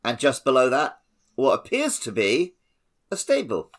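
A man's voice: mid-range voice, wildly swooping pitch, a little slow. A man co-hosting a podcast, chatting casually about a video game with an easygoing manner and jokey asides. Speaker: podcast co-host.

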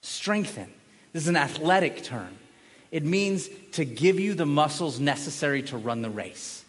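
A male pastor preaching a sermon with emphatic, dramatic swings from raised voice to hushed emphasis, leaning on repeated phrases and rhetorical questions. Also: fairly high pitch, big pitch swings, normal speed. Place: large reverberant indoor church hall. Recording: long reverb of a large hall.

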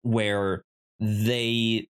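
A man co-hosting a casual discussion, speaking haltingly and stuttering on repeated sounds and words. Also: lowish voice, level tone, clean tone. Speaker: podcast co-host. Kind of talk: casual chat, film discussion.

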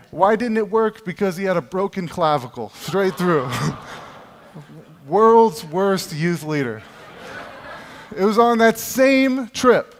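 Self-mocking man preaching in echoing hall. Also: a little high. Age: 30s. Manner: self-deprecating, humorous storytelling. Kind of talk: sermon. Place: church hall with long reverb. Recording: reverberant church hall.

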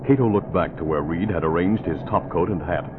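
A man narrating a scripted 1940s radio serial in a dramatic, measured storytelling manner. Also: low-pitched. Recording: muffled vintage radio, music behind.

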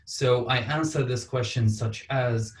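A man from Syria speaks on a panel, earnest and with dry humor slipping in. His voice sits at an ordinary pitch. It comes across roomy, picked up from some distance. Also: measured pace. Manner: earnest, wry asides. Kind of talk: panel discussion answer. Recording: roomy sound from off mic.

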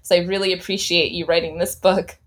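A woman's voice: lowish voice, flat delivery, brisk. A woman hosting a podcast, talking in a chatty way with fillers and restarts. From United States. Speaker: podcast host. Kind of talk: podcast interview.

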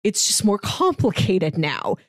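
A woman talks casually as a podcast co-host. Her pitch is mid to high and moves around in a lively way, and the sound is close and dry. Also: a little slow, dry recording. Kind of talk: casual chat reviewing a TV drama.

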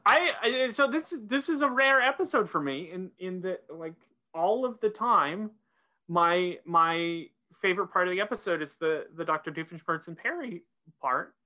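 A woman's voice: on the low side, lively intonation, moderate pace, pushed and bright. A woman co-hosting a podcast in casual discussion, hesitant and often repeating words as the thought forms. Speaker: podcast co-host.

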